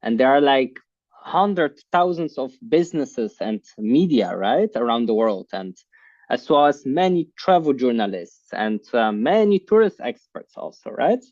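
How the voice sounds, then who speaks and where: A man's voice: mid-range voice, very animated, a little mellow, no echo. Man hosting an online class, filler-heavy. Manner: halting, many fillers like "like, uh". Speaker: online course host. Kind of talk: casual online class talk. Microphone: video call. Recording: muffled video call audio.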